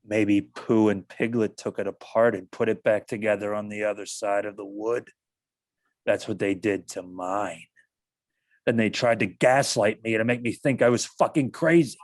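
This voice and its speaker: An actor playing a bitter, mistrustful donkey, gloomy, deadpan and blunt, in a comic stage play reading. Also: medium pitch, normal speed, dry recording, natural voice. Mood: morose, deadpan.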